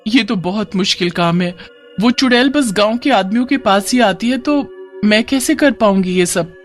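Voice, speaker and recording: A man's voice: sits high, moderate pace, soft background music, pitched above the performer's own voice, natural voice. A man voicing a brave but anxious young village woman with an earnest, urgent manner in a cartoon moral story. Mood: worried.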